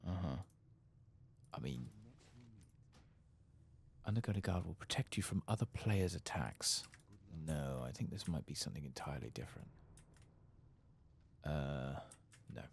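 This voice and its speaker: Very deep, creaky, soft-spoken man. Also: measured pace, long pauses, slight background noise. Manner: casual, muttering to himself, thinking aloud, mild swearing. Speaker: gaming video host. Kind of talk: soft-spoken ASMR playthrough commentary, partly whispered.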